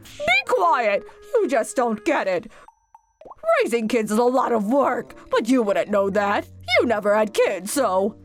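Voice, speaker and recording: A woman's voice: on the high side, very animated, measured pace, faint music behind. A woman voicing a brazen, whiny middle-aged sister-in-law in a manga dub, defensive, snippy and demanding. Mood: defensive snapping. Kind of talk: exaggerated manga dub acting.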